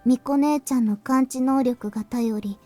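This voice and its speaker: Soft-toned, high young girl voiced by a woman. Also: fairly even intonation, fast talker, soft background music, lightly acted. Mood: calm, factual. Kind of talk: Japanese visual novel voice acting.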